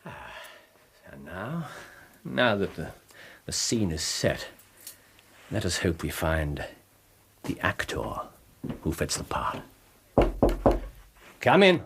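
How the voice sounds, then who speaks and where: An actor playing a commanding, sardonic British detective in a radio drama, precise and theatrical. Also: male voice, deep voice, wildly swooping pitch, slow, creaky, long pauses, a bit bright, a little hiss or hum, exaggerated voice. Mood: assured, theatrical. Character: brilliant, commanding, sardonic male private detective.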